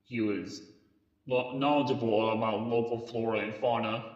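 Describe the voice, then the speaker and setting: A muffled, lo-fi recording with a roomy echo carries a man's voice at an ordinary pitch. He narrates a police case file as if for an old evidence tape, reading haltingly and sometimes tripping over a word. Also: fairly even intonation, darkish tone. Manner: halting read-aloud delivery with stumbles over words. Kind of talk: scripted narration, mock police briefing tape in an analog horror story.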